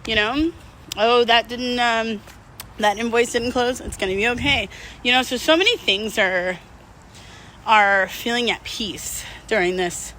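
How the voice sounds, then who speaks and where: Bright-voiced woman chatting candidly over background noise. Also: a little high, a little slow, rough recording. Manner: rambling, candid, drifting mid-thought. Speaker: vlog host. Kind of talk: casual unscripted chat to viewers.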